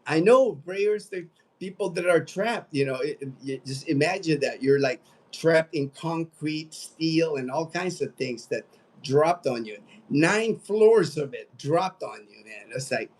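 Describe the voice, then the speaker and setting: A man's voice: on the high side, animated, a little slow, darkish tone. A man in his late fifties with an American accent, chatting casually and ramblingly as a livestream host, often repeating his phrases. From United States. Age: late 50s. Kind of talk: casual livestream chat, reading out viewer comments.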